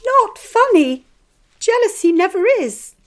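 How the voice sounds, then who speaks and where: Devoted, protective female fan, gushing, high pitch, slow earnest delivery. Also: sing-song, heavily acted. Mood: indignant. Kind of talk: radio drama acting.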